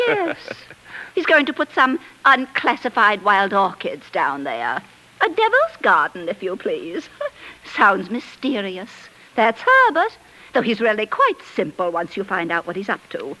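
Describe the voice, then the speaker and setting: A woman playing a bossy, fussy British society wife, brisk and theatrical in the style of forties radio drama. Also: medium pitch, sharp tone, low-quality recording. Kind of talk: 1940s radio acting.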